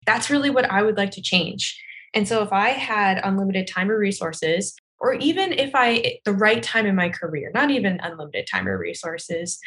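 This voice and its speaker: A woman's voice: medium pitch, fairly bright tone. An American woman giving a conversational interview answer in an articulate, earnest and emphatic manner. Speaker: physical therapy clinician.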